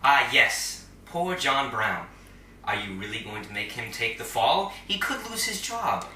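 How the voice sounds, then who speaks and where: A man's voice: mid-range voice, sing-song, sharp tone, slight background noise, exaggerated voice. Roomy, piercing, smug superhero leader, acted by a man. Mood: mocking. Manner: taunting, grandiose, drawn-out showy delivery. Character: smug, theatrical male leader of a superhero group. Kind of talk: audio drama acting. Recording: roomy, slightly distant microphone.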